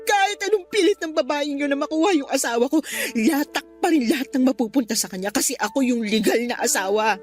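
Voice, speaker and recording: A woman's voice: high-pitched, expressive, fast talker, faint music behind. A woman playing a distraught, stubborn betrayed wife, pleading and defiant with her mother in a radio drama. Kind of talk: Tagalog radio drama acting.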